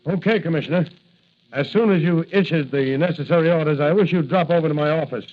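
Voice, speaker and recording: A stern, proud mayor from a 1940s radio drama, acted by a man. He speaks in a slightly high male voice with deliberate, official authority. The sound is dull, like an old broadcast. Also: natural voice. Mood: firm, concerned. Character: stern, proud city mayor, a protective widowed father. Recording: muffled vintage radio recording.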